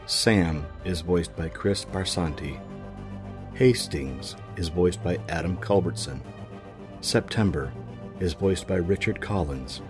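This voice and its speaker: A man narrating a scripted fiction podcast in a measured, grave, dramatic storytelling manner. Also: deep voice.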